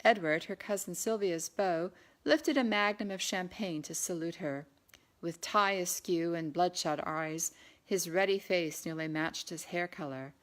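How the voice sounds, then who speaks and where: A woman with a slightly low voice narrates a historical novel. She reads scripted prose as the author of the book. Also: measured pace. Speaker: author reading their own novel.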